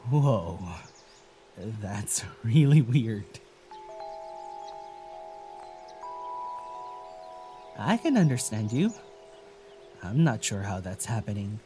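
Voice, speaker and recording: An actor playing a gentle, wary young traveler in a naturalistic audio drama, speaking in a hesitant, musing way. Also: medium pitch, big pitch swings, slow, soft background music. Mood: awed, musing.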